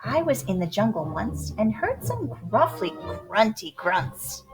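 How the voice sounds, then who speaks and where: Female storyteller for a children's picture book: lively, swooping delivery at a slow pace, playful emphasis, over music. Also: mid-range voice, rough recording. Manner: playful, expressive, drawn-out words and dramatic emphasis. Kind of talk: animated rhyming storybook read-aloud for young children. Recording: music behind the voice.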